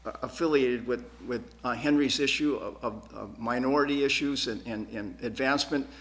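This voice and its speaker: A man answering questions as a school board candidate at a forum, earnest and emphatic, often repeating words haltingly. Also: medium pitch. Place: TV studio.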